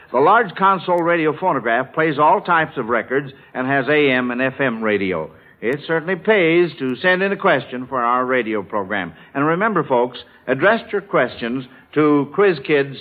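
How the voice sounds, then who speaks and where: An American man hosting a mid-century radio quiz show in a folksy announcer's delivery, on a vintage radio recording. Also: medium pitch. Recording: vintage radio, narrow telephone-like band.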